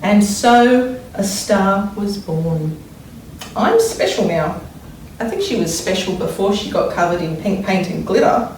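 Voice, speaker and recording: A woman reads aloud to young children like a primary school teacher, with dramatic emphasis and thoughtful explanations. Her pitch is average for a woman. The sound is distant and strongly echoey, with faint noise behind her. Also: lively intonation, darkish tone. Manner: deliberate, wondering aloud, dramatic emphasis when reading. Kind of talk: expressive children's read-aloud with think-aloud explanations.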